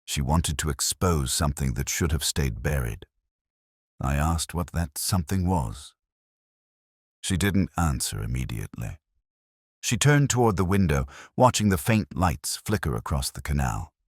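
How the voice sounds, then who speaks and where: A brooding, weary police inspector played by a man tells his case as an audio drama. His voice is extremely deep, with a lively melodic range. The delivery is solemn and literary, recorded close and dry. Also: measured pace, slightly rough, no room sound, exaggerated voice. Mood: somber, reflective. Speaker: narrator of a detective audio drama. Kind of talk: scripted first-person mystery narration.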